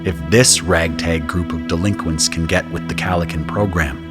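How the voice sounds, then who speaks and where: A man delivers scripted first-person narration for an audio drama. His voice is very low, and music runs clearly underneath it. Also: fairly even intonation, normal speed.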